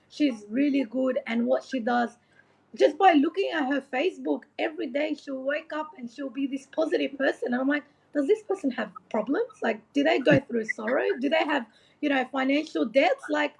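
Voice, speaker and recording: A woman's voice: high-pitched, vocal fry. A woman from Iraq speaking as a motivational coach in an informal interview, earnest, rambling and encouraging, often saying like and you know. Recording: dull, lo-fi audio.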